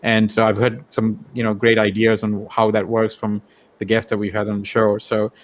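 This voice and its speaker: A man's voice: on the low side, monotone, moderate pace. A man from Sri Lanka hosting a casual interview, rambling tentatively as he works toward his questions. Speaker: show host, interviewer.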